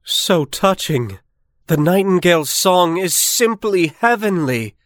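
A theatrical emperor from a children's fairy tale, acted by a man. He is sentimental and excitable, and his pitch leaps about. The sound is close and dry. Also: takes it slowly, no room sound. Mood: moved.

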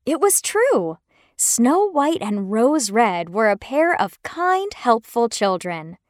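Slow, expressive woman storyteller, high voice. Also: dry recording, studio quality. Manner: expressive, careful. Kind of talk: scripted children's fairy tale narration.

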